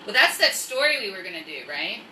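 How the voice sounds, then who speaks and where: A woman with a mid-pitched, sharply bright voice chats casually as a livestream host. She rambles and interrupts herself as she goes. The sound is roomy and off-mic, with faint noise behind her. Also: brisk, distant mic. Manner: rambling, self-interrupting, animated asides. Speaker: online storytime host and craft seller.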